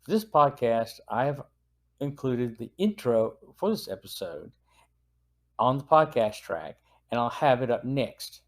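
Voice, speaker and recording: A man's voice: medium pitch, sing-song, measured pace. A man hosting a podcast, reading a semi-scripted review in a plain, earnest, informative manner. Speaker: podcast host.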